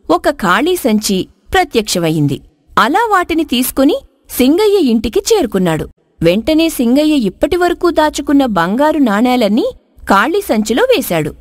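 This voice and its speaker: A woman storyteller with a high voice and lively, swinging intonation. She narrates a scripted moral tale. The recording is dry and close. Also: dry recording.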